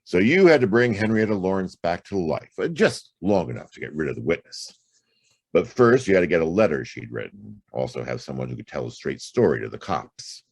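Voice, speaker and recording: A hard-boiled detective played by a male actor from a noir audio drama. The voice sits extremely low and crackles with gravel, close and dry. He is laconic and wry, and his delivery moves widely in pitch. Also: animated, a little fast, dry recording, full character voice. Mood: accusing, probing. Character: world-weary hard-boiled private detective, adult man. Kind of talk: noir radio drama acting and first-person narration.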